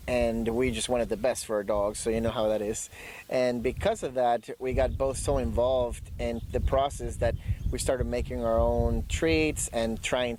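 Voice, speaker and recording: A man's voice: medium pitch, a little mellow. Chatty man, laughing often. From Ecuador. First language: Spanish. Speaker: guest, dog trainer. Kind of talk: casual interview chat.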